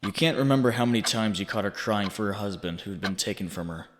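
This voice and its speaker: A man playing a haunting inner voice, narrating in the second person with deliberate, accusing, dramatic delivery. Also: lowish voice, natural voice. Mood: somber, accusing. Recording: long reverb, faint background noise.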